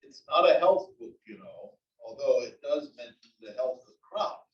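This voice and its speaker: A man's voice: medium pitch, animated, normal speed, lightly acted. A man playing a grumbling, exasperated old husband with wry complaints in a comedy table read. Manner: complaining, exclaiming. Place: library room, table read. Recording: dull, echoey library room, distant mic.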